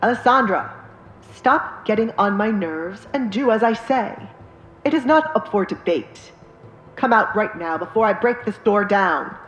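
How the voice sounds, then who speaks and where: A woman's voice: medium pitch, lively intonation, unhurried, echoey room, faint music behind, voice pushed up, close to an everyday voice, poor audio. A woman voicing a stern, pushy father who demands obedience from his daughter, in dramatized audiobook dialogue. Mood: angry, threatening.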